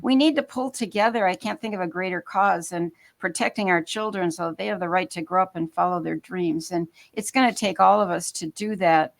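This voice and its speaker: An older woman from Minnesota speaking as a guest author, earnest and halting, often restarting her sentences. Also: fairly low pitch, creaky. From Minnesota, United States. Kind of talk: interview talk.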